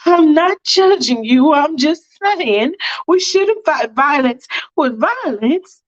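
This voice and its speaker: A woman's voice: very animated, slow pace, full character voice. A woman voicing a frantic, pleading woman who repeats herself urgently, in a storytelling performance. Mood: indignant worry. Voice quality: high-pitched. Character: frantic, pleading, morally insistent woman named Faith. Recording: dull lo-fi sound with music behind.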